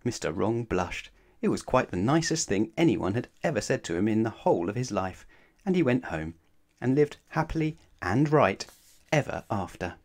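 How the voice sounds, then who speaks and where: Casting: male bedtime-story narrator, expressive and very lively in pitch, leaning on words for drama. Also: normal speed. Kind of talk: expressive children's read-aloud.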